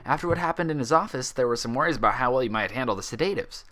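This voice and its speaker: A man's voice: medium pitch, expressive, racing, bright, forceful tone, pitched above the performer's own voice. A man voicing a kindly, casual pony doctor with a reassuring bedside manner in a story reading. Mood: explaining. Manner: easygoing, reassuring bedside chatter.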